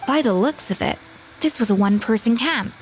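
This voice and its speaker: An actress playing a composed, sharp-tongued woman chef with a crisp, cool voice. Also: fairly high pitch, sing-song, normal speed, slight background noise. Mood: calm. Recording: loud game music behind.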